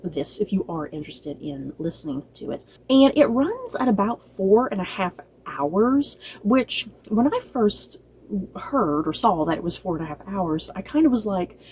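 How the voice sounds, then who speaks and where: A woman with a soft-edged, mellow voice talks casually to her viewers as a review host. She rambles in a chatty, unscripted way and doubles back on her points. Faint background noise sits behind her. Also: mid-range voice, sing-song, measured pace. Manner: chatty, rambling, circles back and repeats herself. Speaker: host of a book and film review channel.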